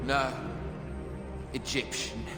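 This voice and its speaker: A man's voice: mid-range voice, steady tone, slow pace, roomy sound, big-room sound, over music, natural voice. An actor playing a ruthless, boastful Roman general who taunts his enemy with grandiose sneering. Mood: gloating. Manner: taunting, grandiose, swings to shouting.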